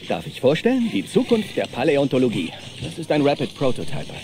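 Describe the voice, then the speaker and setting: A man's voice: medium pitch, huge pitch swings, fast, darkish tone, a little hiss or hum. An actor playing an enthusiastic, cheerful young male paleontology assistant in film-style dialogue. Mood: keen. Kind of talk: German-dubbed film dialogue.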